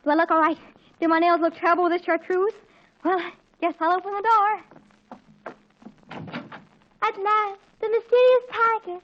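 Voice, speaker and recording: An excitable teenage girl with a high voice, breathlessly earnest and dramatic. She pleads her way through a 1940s radio family comedy. The old recording is dull and narrow. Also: normal speed, pauses often, a little hiss or hum. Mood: flustered excitement.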